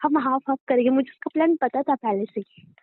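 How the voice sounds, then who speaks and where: A woman's voice: sits high, a little fast, no room sound. A young woman bantering casually as a girlfriend on a phone call, sassy, curt and mocking. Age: young adult. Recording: dry, narrow tinny phone-line sound.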